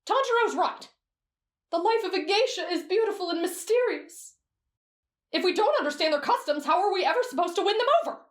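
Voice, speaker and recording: A woman doing shrill excitable Zenitsu. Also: a little fast. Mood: flustered. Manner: shrill outbursts. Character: excitable girl-crazy teenage boy, whiny. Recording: roomy echo.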